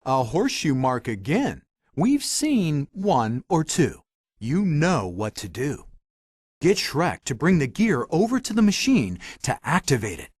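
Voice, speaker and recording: A man's voice: on the high side, huge pitch swings, unhurried, darkish tone, husky, no echo, studio quality, full character voice. A man voicing a talking magic mirror in a video game, giving hints in a theatrical, playful, wry way. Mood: helpful, playful. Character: talking magic mirror guide, male, animated and helpful. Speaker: video game hint-giver. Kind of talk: scripted game voice acting, hints and quips.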